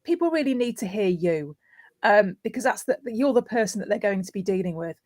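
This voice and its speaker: A woman with a mid-pitched voice talks as a guest expert in voiceover. She explains practical tips in a conversational way, using everyday examples. The sound is dry, with hardly any room around it. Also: animated, fairly quick, darkish tone, no echo. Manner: illustrates points with everyday examples and imagined callers.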